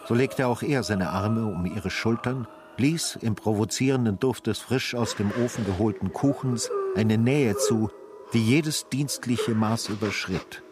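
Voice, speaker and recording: A man narrating a literary radio drama in a sombre, measured and grave style. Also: on the low side, background noise.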